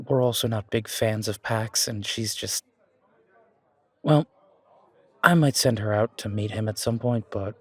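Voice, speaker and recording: A man's voice: medium pitch, darkish tone, husky, no echo, pitched below the performer's own voice. A man playing a down-to-earth, blunt human restaurant owner in an audio roleplay, plain-spoken and understated. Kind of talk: fantasy audio roleplay acting.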